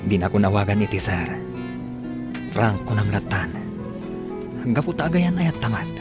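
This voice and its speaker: A man with a Filipino accent playing an apologetic, soothing gentleman suitor in a radio drama. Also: on the low side, sing-song, normal speed, over loud music. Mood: apologetic, tender.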